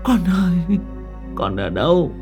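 Man acting an anguished mother, high, swooping pitch. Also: very animated, slow, music in the background, exaggerated voice. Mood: tearful, devoted. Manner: emotional, pleading, repeating words. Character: anguished, devoted mother grieving for dying daughter.

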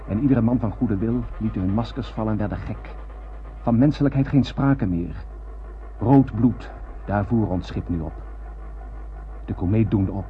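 An actor playing a haunted survivor who narrates a doomed space voyage in a radio drama, grave and literary. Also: male voice, lowish voice, lightly acted. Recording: dull, muffled old radio recording.

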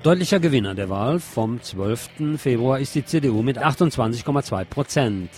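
A man's voice: medium pitch, expressive, fairly quick, over music. A man presenting radio news, reading from a script in German news style.

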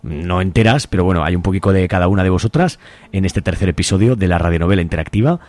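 A man's voice: sits low, fast, a little mellow. A man with an accent from Spain presenting a community radio show in an informal, unscripted way.

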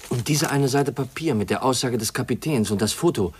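A man's voice: mid-range voice, a little fast, a little mellow, slight background noise, natural voice. An actor playing an earnest young man uncovering family secrets in a 1950s German radio drama. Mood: curious. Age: young adult.

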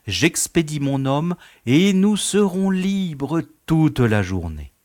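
A man acts out a genial prison director in a radio comedy. His voice swings animatedly over a fairly high pitch, at an unhurried pace. He is courteous, paternal and pleased with his own importance. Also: a little hiss or hum. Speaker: actor in an audio drama. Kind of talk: French radio comedy acting.

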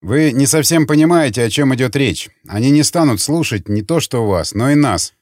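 A man's voice: medium pitch, animated, normal speed, a little mellow, dry recording, clean studio sound, natural voice. A man voicing a sardonic, needling councilman in an audiobook, with a mocking and theatrical manner. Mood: dismissive. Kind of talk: audiobook character acting.

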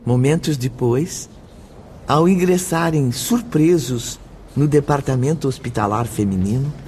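A man narrating scenes of a spiritual radio play in a steady way. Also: mid-range voice, unhurried, slight background noise, close to an everyday voice.

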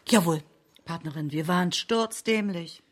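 A woman's voice: lowish voice, normal speed, no room sound, natural voice. A woman playing a caustic, world-weary female police commissioner in a radio crime drama, blunt and sarcastic. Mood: mock contrite.

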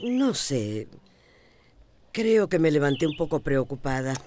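A restless, crotchety old matriarch with a Central American accent. Her voice sits low and could almost pass for a man's. She is stubborn with affection underneath, in the manner of a radio serial. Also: very animated, measured pace, mellow, exaggerated voice. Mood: wistful, restless. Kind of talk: radio drama acting.

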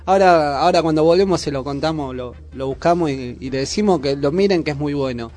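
High-voiced Argentine man chatting on radio. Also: brisk, darkish tone. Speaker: radio show host. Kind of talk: casual radio chat.

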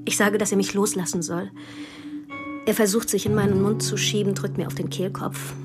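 A troubled young woman played by an actress speaks in a radio drama monologue. Her mid-pitched delivery is intimate and held back, with clearly audible guitar music behind it. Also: flat delivery, normal speed, natural voice. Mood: numb, intimate.

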